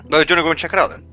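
Bright-voiced young man, lo-fi mic. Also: fairly high pitch. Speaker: gaming roleplayer. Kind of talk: improvised in-character game roleplay, casual. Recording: lo-fi game mic, music behind.

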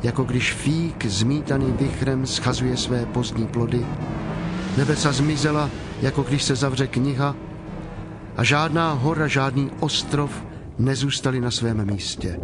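Man, medium pitch, solemn narrator, measured scripture storytelling, music behind.

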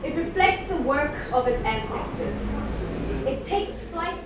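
A woman with a South African accent delivers spoken-word poetry in a high voice, deliberate and dramatic. She leans on key words and leaves pauses between phrases. The recording is very echoey, with clear noise around her. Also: lively intonation. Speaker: poet performer. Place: live event in an echoey indoor venue. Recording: echoey room, distant mic, clear background noise.

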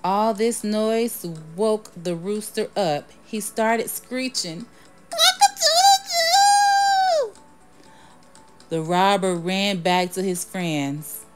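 A woman reading a children's storybook aloud in a measured way, announcing each part before she reads it. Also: mid-range voice, steady tone, over music. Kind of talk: storybook read-aloud, scripted narration.

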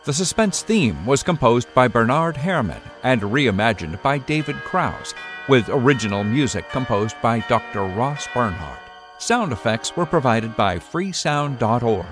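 Lively, formal male radio announcer. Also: mid-range voice, a little slow, few pauses, over music. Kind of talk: scripted closing credits announcement.

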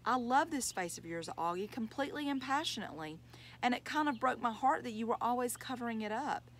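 Doting, easygoing father in a storybook, read by a woman, coaxing manner, middle female pitch, slight noise. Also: animated, fairly quick, fairly bright tone, lightly acted. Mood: tender, pleading.

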